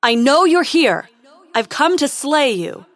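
Loud high pompous young prince, in a hurry, woman acting it. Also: very animated, takes it slowly, no room sound, heavily acted.

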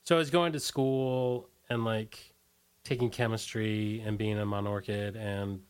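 A middle-aged American man being interviewed, chatting casually in a halting, informal way with "like" sprinkled through his sentences. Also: on the low side, steady tone, clean tone. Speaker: interview guest, musician.

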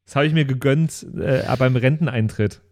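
A man's voice: mid-range voice, moderate pace, darkish tone. A man in casual chat as a tabletop role-player, thinking aloud a little hesitantly.

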